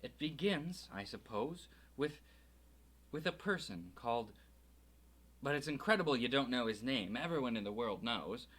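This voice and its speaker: A man voicing a huge, gruff but kindly giant with rough, folksy speech in an audiobook reading. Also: medium pitch, animated, normal speed, fairly bright tone. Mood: low worried.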